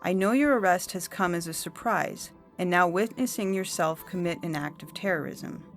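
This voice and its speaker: A composed female judge played by a woman reader speaks in a slightly low voice. Her delivery is formal, measured and coolly condescending. Music runs underneath this science fiction audio story. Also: close to an everyday voice. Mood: stern, patronizing.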